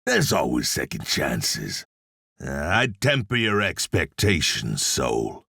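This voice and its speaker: A man playing a grim, sardonic cowboy blacksmith demon hunter in a video game, a gravelly husky voice and a dry, laconic manner. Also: very deep voice, huge pitch swings, slow pace, pushed and bright, dry recording, heavily acted. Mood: dry, wry.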